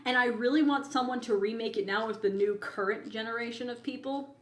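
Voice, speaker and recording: A woman's voice: on the high side, fairly quick. A woman co-hosting a podcast, chatting casually in an informal, opinionated, rambling way. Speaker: podcast co-host. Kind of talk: casual chat. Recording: echoey room sound.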